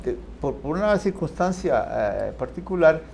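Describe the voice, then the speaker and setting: An older man, chatting on a panel with many pauses and restarts. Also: fairly high pitch, sing-song, gravelly, a little mellow, a little hiss or hum. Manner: hesitant, many restarts and fillers. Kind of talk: casual chat.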